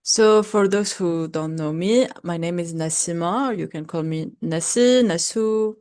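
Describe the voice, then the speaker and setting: A woman's voice: fairly low pitch, a little slow, a little mellow, smooth. A French-woman, introducing an online class in a chatty way that is full of "like" and "okay?". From Algeria. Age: 20s. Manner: chatty, fills with "like" and checks in with "okay?". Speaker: French teacher.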